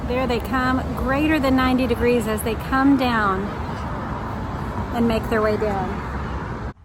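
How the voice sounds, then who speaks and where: A woman's voice: a little high, fairly even intonation, slow pace, background noise. A woman reading a book aloud and breaking off to explain and point things out to the viewer. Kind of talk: read-aloud narration with explanatory commentary.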